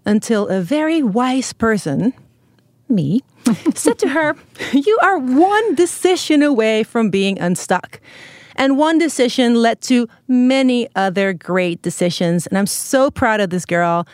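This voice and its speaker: A woman hosting a podcast, warmly introducing her best friend and asking about her struggles. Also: a little high, sing-song, unhurried, dry recording, studio quality. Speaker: podcast host. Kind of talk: podcast interview. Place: podcast studio.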